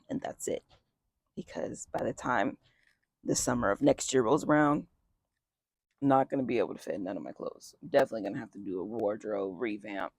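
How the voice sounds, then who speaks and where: A young man chatting casually outdoors in a light breeze as a vlogger, with lots of fillers and wry asides. His voice is just starting to deepen early on testosterone. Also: creaky, a little mellow, dry recording, clean studio sound. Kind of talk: casual life update chat.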